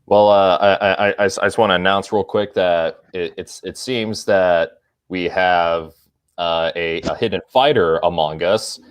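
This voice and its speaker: A man hosting a casual fan podcast, chatting haltingly and often stammering over his words. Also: deep voice, fairly even intonation, clear, resonant tone, no echo. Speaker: podcast host.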